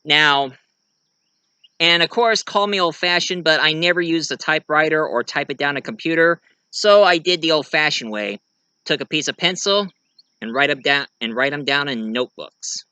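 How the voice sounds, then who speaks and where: An American man giving a casual show-and-tell monologue, rambling and halting as he corrects himself along the way. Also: a little high, bright, forceful tone, clean tone, no echo. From United States. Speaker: amateur reviewer and story writer presenting own work.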